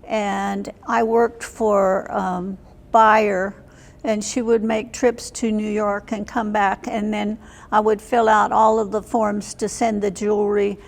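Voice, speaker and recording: An older woman, in her seventies or beyond, recalling her life as an interview guest in a plain, halting way. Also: mid-range voice, little pitch movement, gravelly. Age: 70s or older. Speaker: interview guest, military veteran. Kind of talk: reminiscing in an unscripted interview. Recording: long hall reverb.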